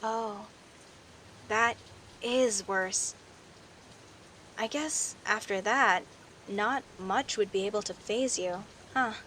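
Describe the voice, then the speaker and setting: A woman playing a friendly, teasing young werecat neighbor in an audio roleplay, chatting playfully to the listener with hesitant pauses and theatrical emphasis. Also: mid-range voice, fairly bright tone, slight background noise. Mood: relaxed, curious. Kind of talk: audio roleplay, casual one-sided conversation with the listener.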